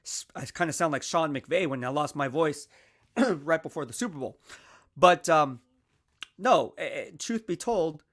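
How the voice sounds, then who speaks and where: A man's voice: on the high side, a little slow. A man hosting his own football analysis show, talking casually and energetically, often checking in with "right?", while losing his voice. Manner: energetic, conversational, peppered with "right?" and "all right?". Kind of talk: casual sports commentary monologue.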